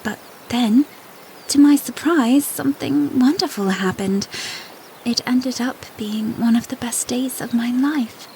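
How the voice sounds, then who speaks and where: A woman playing a gentle, weary young princess in a fantasy audio drama, speaking softly and with measured politeness. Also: on the high side, slight background noise, poor audio. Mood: wistful. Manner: soft-spoken, measured. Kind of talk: fan audiodrama acting.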